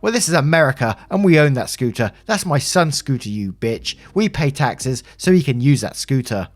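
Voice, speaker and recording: A man's voice: mid-range voice, big pitch swings, normal speed, voice pushed up, lightly acted. A man voicing a belligerent, entitled mother in a story, haughty and scolding. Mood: furious, indignant. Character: belligerent, entitled, xenophobic mother, shrill and demanding.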